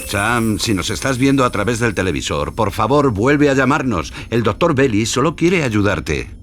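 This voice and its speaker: A man's voice: mid-range voice, big pitch swings, normal speed, a bit bright, slight background noise. A man playing an earnest, conciliatory talk show presenter in an audio drama. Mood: earnest, pleading.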